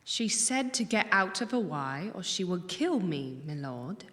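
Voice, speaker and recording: Clever servant, lowish voice, respectful, careful, quietly standing firm. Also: female voice, very animated, unhurried. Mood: guarded, deferential. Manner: deferential, careful. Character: clever, deferential servant woman standing her ground. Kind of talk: audio drama acting.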